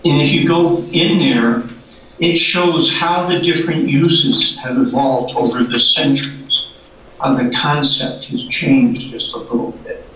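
A man giving a lecture with slides, halting and repeating words as he thinks, recorded from a distant camera in a lecture room. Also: medium pitch, steady tone, sharp tone, heavy reverb, highs cut off, slight background noise.

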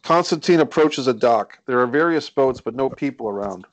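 A man's voice: medium pitch, expressive, moderate pace, no room sound, dull audio. A man hosting a script read and reading out the stage directions. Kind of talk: scripted screenplay narration. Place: indoors on a group video call.

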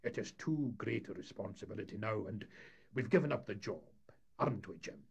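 A man voicing a wily older boat captain who talks his way out of trouble in a sly, unhurried way. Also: fairly low pitch, lively intonation, creaky, darkish tone, slightly rough, exaggerated voice.

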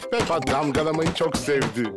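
A man's voice: a little high, moderate pace, natural voice. A man performing as the cheerful storybook narrator of a children's cartoon. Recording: music under the narration.

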